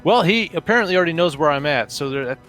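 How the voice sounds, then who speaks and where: A man playing a tabletop game, deliberately thinking his moves through out loud in casual talk. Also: on the high side, animated. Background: music.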